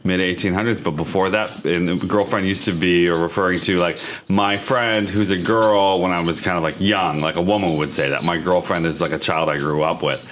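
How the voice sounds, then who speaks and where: Very deep-voiced man co-hosting a chat. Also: steady tone, moderate pace, hardly any pauses, fairly bright tone, a little hiss or hum. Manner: explaining with many fillers. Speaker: podcast co-host. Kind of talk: casual podcast chat.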